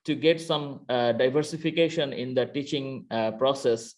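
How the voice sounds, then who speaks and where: A man's voice: medium pitch, fairly even intonation, normal speed. Bangladeshi man lecturing, full of fillers. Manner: hesitant, many fillers and restarts. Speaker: university lecturer. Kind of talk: online class lecture. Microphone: computer mic on video call.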